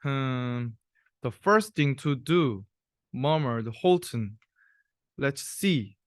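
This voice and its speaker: A young man reads a rhyming children's tale aloud at a slow pace. His pitch is medium, and the recording is dry, without room sound. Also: lively intonation, dry recording. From South Korea. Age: young adult. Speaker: university student reader.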